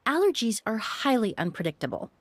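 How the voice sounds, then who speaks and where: A woman playing a polite, composed young perfumer in a video game, speaking in courteous, formal, measured phrases. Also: on the high side, big pitch swings, husky, dry recording. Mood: gracious, curious.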